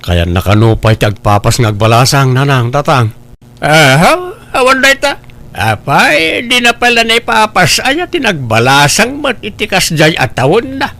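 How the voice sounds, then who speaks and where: Guy acting as a pushy impatient ilocano boyfriend in a comedy drama, gravelly, voice goes up and down a lot. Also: high voice, bright, forceful tone, heavily acted. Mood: questioning. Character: impatient male suitor, pushy and complaining about waiting.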